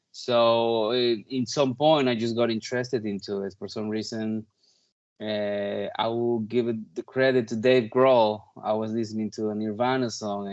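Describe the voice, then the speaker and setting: Chatty man from Peru, slightly muffled audio. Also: fairly low pitch. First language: Spanish. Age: 30s. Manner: chatty, peppered with "like" and "you know". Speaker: interview guest, touring drummer. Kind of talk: casual interview chat.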